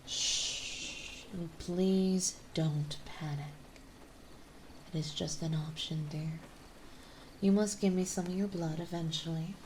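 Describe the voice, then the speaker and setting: A woman playing a gentle yet possessive, dominant vampire mistress in an ASMR roleplay, speaking softly and soothingly, often in a breathy whisper. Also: fairly low pitch, fairly even intonation, background noise. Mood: gently coaxing. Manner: soothing, coaxing, slow and deliberate.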